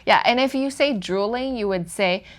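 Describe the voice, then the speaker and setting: A woman co-hosting a Chinese lesson, explaining words and saying slow, careful example sentences. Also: mid-range voice. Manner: lively, switches into slow careful Mandarin examples. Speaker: Chinese teacher co-host. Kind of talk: language lesson chat.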